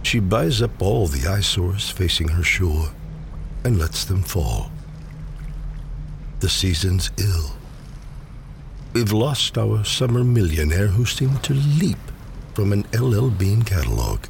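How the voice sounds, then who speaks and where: Deep, slow man reciting poetry. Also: very animated, vocal fry, a little hiss or hum. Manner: measured, deliberate phrasing with pauses. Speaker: poetry reader. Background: faint ambient sound design.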